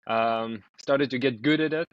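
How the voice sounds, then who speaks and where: A man's voice: medium pitch, a little slow, no room sound. A young man from Israel, a startup founder talking loosely as a podcast guest, with lots of ums and restarts. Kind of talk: casual podcast interview. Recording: dry, slightly dull remote audio.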